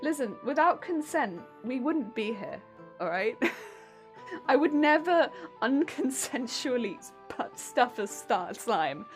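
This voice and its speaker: A woman's voice: fairly high pitch, very animated, slow, soft background music. Giggly southern English woman streamer. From Hampshire, England. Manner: giggly, rambling, chatty with frequent laughs. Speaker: craft streamer. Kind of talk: casual live-stream chat while sewing.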